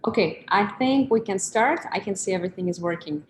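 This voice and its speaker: A woman's voice: mid-range voice, lively intonation, a little fast, slight background noise. A woman hosting and moderating an online talk, speaking in an upbeat way and switching between English and Russian. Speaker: host and moderator. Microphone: video call. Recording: echoey room.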